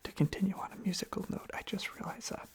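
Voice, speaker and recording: Someone rambling softly in a breathy, husky ASMR whisper close to the microphone, casually repeating little phrases. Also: fast. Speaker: ASMR video creator.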